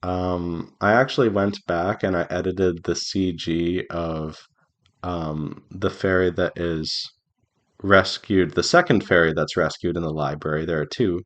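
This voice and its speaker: A man giving an informal devlog update as an indie game developer, speaking haltingly as he thinks aloud. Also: low voice, fairly even intonation. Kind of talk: informal progress update to viewers.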